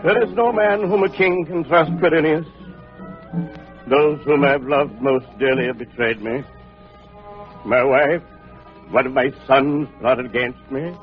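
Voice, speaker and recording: An actor playing a suspicious, treacherous king in an old radio drama, husky and grandiose. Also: medium pitch, a little slow, long pauses, lightly acted. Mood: bitter. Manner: grandiose, paranoid, theatrical. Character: suspicious, treacherous Judean king, brooding and menacing. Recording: muffled old radio recording, music behind.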